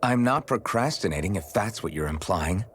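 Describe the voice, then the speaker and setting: A man's voice: sits low, expressive, normal speed, a bit bright, slightly rough, exaggerated voice. A man playing an earnest, patient young fantasy hero in a video game. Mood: defensive. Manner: earnest, conciliatory.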